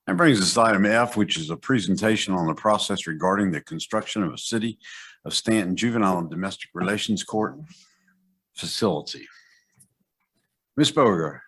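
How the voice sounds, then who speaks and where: A man's voice: low-pitched, brisk, fairly bright tone, breathy edge. A man, the mayor, chairing a city council meeting with brief procedural remarks.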